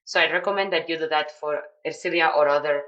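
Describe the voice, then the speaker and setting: A woman's voice: deep voice, quick pace, a bit bright, lo-fi sound. A woman with a Spanish accent, a non-native English speaker, mentoring newcomers on a video call and explaining steps with many fillers and restarts. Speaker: project mentor. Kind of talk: online video call briefing.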